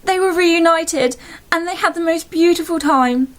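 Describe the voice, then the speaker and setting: A woman's voice: very high-pitched, normal speed. A woman narrating a bedtime story in a playful, mock-solemn storybook manner.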